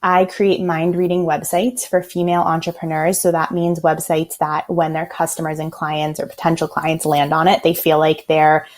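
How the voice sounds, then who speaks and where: An American woman from Los Angeles speaks in a notably low register. She talks fast and intensely, with a sarcastic streak, chatting casually as a guest on a remote podcast call. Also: flat delivery. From Los Angeles, USA. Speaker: podcast guest, website designer. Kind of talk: casual interview chat.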